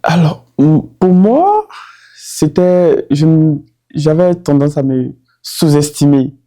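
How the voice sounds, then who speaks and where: A man's voice: on the high side, very animated, takes it slowly, warm tone, soft background music. A man from Côte d'Ivoire, a former doctor now studying, giving a personal testimony with hesitant speech and frequent restarts.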